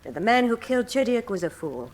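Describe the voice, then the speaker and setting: An actress playing a clever, guarded adventuress in a radio drama, with a refined and composed manner. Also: female voice, a little high, big pitch swings, fairly quick. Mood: poised, guarded. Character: poised, clever female adventuress posing as an heiress.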